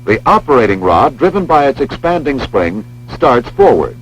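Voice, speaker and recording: An American man reading scripted instructional narration for a training film in a measured, precise, formal manner. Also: mid-range voice, sing-song, slightly rough. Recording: dull lo-fi film soundtrack, humming noise.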